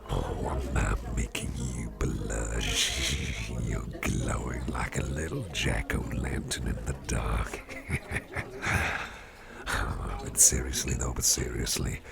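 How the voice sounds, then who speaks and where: A man playing a friendly, affectionate office boss in an ASMR roleplay, murmuring in a breathy half-whisper and rambling gently as he repeats himself. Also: a bass voice, full character voice. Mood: warm, grateful. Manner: hesitant, repetitive, gently rambling. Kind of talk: ASMR roleplay, intimate personal attention. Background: music.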